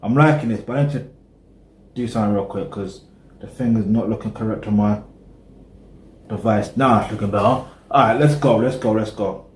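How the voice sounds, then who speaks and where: A man's voice: fairly low pitch, brisk, long pauses, roomy sound, slight background noise. A British man, casually chatting through a music reaction video and rambling with lots of "you know what I mean". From Britain.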